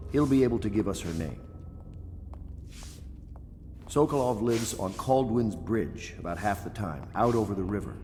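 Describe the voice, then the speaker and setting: An actor playing a dignified, earnest older military leader of conspirators in a video game, speaking in a formal, measured way. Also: male voice, on the low side, a little mellow, lightly acted. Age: middle-aged. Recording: long echoey hall, faint background noise.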